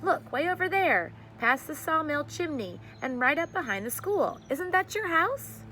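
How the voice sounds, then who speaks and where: A woman's voice: high voice, sing-song, moderate pace, bright, forceful tone, voice pushed up, heavily acted. Storybook little girl played by a woman: brave, sensible, encouraging, plain-spoken; noisy recording. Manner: matter-of-fact, encouraging.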